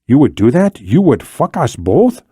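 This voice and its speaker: A man voicing a hard-edged, defiant male operative in a thriller audiobook, with a raspy edge. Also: medium pitch, big pitch swings, moderate pace, warm tone, dry recording, studio quality, pitched above the performer's own voice. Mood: defiant, disbelieving.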